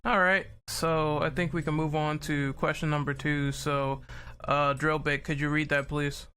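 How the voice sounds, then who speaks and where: A man's voice: a little high, flat delivery, measured pace, sharp tone, slight background noise. A man hosting and moderating a casual group discussion on a podcast.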